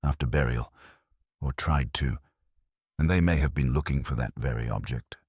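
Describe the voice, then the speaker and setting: A man reading as a gentle, deep-voiced police inspector. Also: normal speed, slightly rough, no echo, studio quality, full character voice. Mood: quietly intent. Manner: gentle, understated, probing. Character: mild, courteous, persistent male police inspector.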